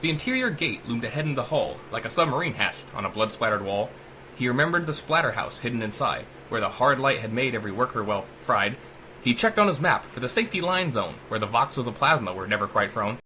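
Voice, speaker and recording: A man's voice: mid-range voice, expressive, fast, pushed and bright, slight background noise, poor audio. A man giving a dramatic, theatrical audiobook narration of horror verse, with a sing-song rhyming cadence. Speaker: author reading own story.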